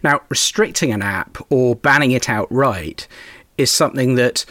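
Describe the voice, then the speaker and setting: A man's voice: medium pitch, moderate pace, fairly bright tone, dry recording, studio quality. A man hosting a current affairs podcast, reading scripted introductions and putting questions to a guest. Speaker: podcast host. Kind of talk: scripted presenting and interviewing.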